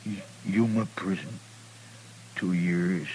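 An American actor playing a broken, desperate gunman in a Western radio drama, with halting, stammering delivery. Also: low voice, darkish tone. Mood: broken, pleading. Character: broken, desperate drunken gunman who lost his nerve. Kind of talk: 1950s Western radio acting. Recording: humming, noisy old radio recording.

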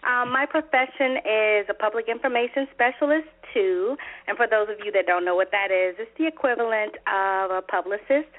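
A woman's voice: mid-range voice, brisk, few pauses. An upbeat, chatty woman from Arkansas introducing herself casually on a call-in talk show. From Pine Bluff, Arkansas, United States. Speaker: panelist, publicist. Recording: narrow phone-like sound.

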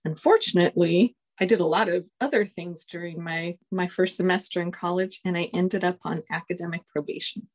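A woman in her forties whose first language is Spanish, a colonel telling stories in a casual interview, relaxed and chuckling often. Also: on the low side, fairly quick, hardly any pauses. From United States. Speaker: guest, Air Force colonel.

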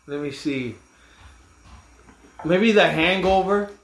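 A man's voice: sits high, takes it slowly, fairly bright tone, echoey room. A man co-hosting a casual podcast chat, laid-back and searching for words, laughing easily. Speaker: podcast co-host. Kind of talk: casual chat over drinks.

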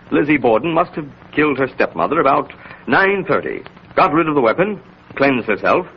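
A man's voice: a little high, slight background noise. An actor playing an impassioned male defense lawyer, oratorical and emphatic, in 1930s radio style. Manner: oratorical, deliberate emphasis and repetition. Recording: muffled 1930s radio recording.

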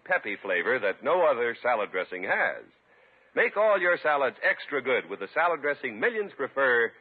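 A man's voice: mid-range voice, breathy edge. A man announcing a radio show and reading sponsor copy in a scripted 1950s style. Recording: dull 1950s radio recording with loud music.

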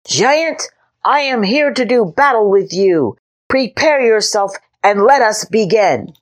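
A woman voicing a pompous six-year-old boy knight who makes grand, loud declarations. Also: mid-range voice, expressive, takes it slowly, pitched above the performer's own voice. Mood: boastful.

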